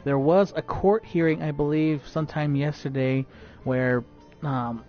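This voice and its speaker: A man's voice: fairly high pitch, steady tone, measured pace, faint music behind. A man live streaming while he plays a game, talking casually and stuttering often with many fillers and restarts. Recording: dull lo-fi stream audio.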